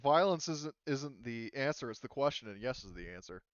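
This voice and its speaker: A man chatting casually as a game master, explaining things in a halting, conversational way. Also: mid-range voice, expressive, dull audio, a crowd in the background. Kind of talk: casual chat, running a tabletop game session.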